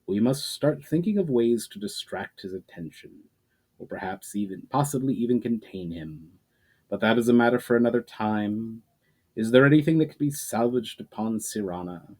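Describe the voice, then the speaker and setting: A man voicing a disembodied, coldly calculating villain with a deep, silky, unhurried voice in a fantasy story reading. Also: lively intonation, darkish tone. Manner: slow, falsely reassuring, unhurried.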